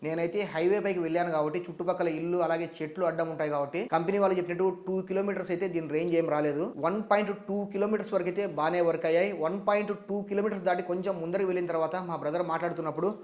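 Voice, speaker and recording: A man's voice: high voice, steady tone, rapid-fire, hardly any pauses, a little mellow. A man with a Telugu accent presenting a product review, explaining things step by step to viewers. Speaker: tech reviewer.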